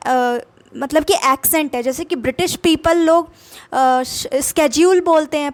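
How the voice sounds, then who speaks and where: High-voiced Indian woman teaching English words. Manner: explains patiently, repeats meanings in Hindi and English. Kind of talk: casual spoken-English lesson.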